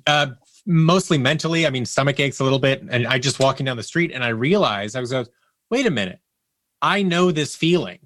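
A man's voice: medium pitch, expressive, a little fast, a bit bright. A man speaking as an interview guest, telling personal stories candidly and reflectively, often voicing his inner thoughts as quotes. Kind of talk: candid personal storytelling in conversation.

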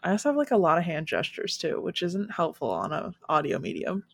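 A woman's voice: on the low side, brisk, gravelly, no room sound. A young adult woman hosting a podcast, chatting casually with many filler words. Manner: chatty, frequent "like" fillers, animated. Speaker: podcast host.

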